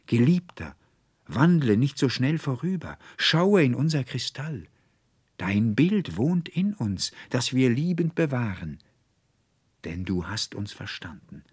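Male reader as yearning enchanted nature spirits, storybook. Slow, drawn-out, breathy, beckoning with adoration. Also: medium pitch, sing-song, darkish tone, no room sound, pitched above the performer's own voice, full character voice. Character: adoring, yearning spirits of flowers, fire, streams and birds.

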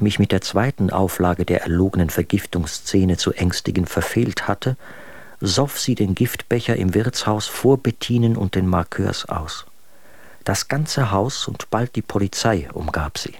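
Exasperated husband in a radio play, mocking letter-writer, articulate, lowish register, close dry recording. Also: male voice, unhurried, slightly rough, dry recording. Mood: scornful, sardonic. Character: exasperated, mocking male writer recounting his wife's antics in letters. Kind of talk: German radio drama, dramatic reading of letters.

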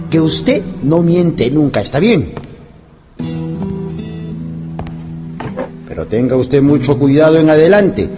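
An actor playing a stern, pompous schoolteacher who scolds formally in a radio drama. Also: male voice, mid-range voice. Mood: solemn warning. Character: stern male schoolteacher, pompous, favouring the rich pupil.

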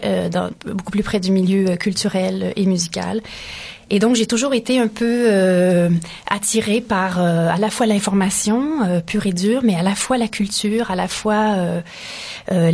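Husky Canadian French woman, hesitant. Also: mid-range voice, a little mellow. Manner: thoughtful, many hesitations and restarts. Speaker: radio station director, guest. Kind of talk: radio interview.

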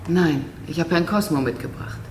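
A woman's voice: sits low, moderate pace, a little mellow, slight background noise. An actress playing an enigmatic, cool woman in a radio drama, terse and teasing, with a fine porcelain-like voice.

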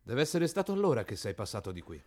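A man's voice: medium pitch, very animated, fast, a little mellow. A man acting in an absurdist radio play as an inquisitive, literal-minded tea stall man, questioning and repetitive. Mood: puzzled, inquisitive. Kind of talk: absurdist radio drama dialogue.

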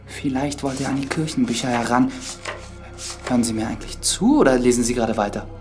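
A man's voice: mid-range voice, brisk, lightly acted. A young man acting an earnest, polite priest turned investigator in a German radio drama, courteous and explanatory. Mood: earnest, intent. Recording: studio radio drama with music underneath.

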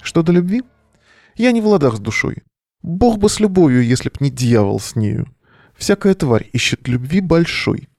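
A man's voice: fairly high pitch, big pitch swings, moderate pace, gravelly, darkish tone, dry recording, studio quality, faint music behind. A male actor playing a weary, sardonic bohemian in an audio play, delivering a verse monologue over the phone in an ironic, declamatory way. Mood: bitter, ironic.

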